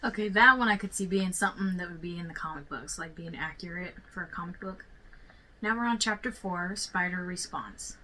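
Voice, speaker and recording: A woman's voice: on the low side, a little fast, sharp tone, poor audio. A woman giving casual reaction commentary, thinking aloud in a rambling, speculative way. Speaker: reaction video host. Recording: clearly audible background noise.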